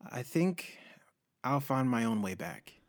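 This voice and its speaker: A man playing a mild, kindly young adventurer in a fantasy audio story, quiet and understated. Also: mid-range voice, lively intonation, slow, dry recording, clean studio sound. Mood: quietly resolved.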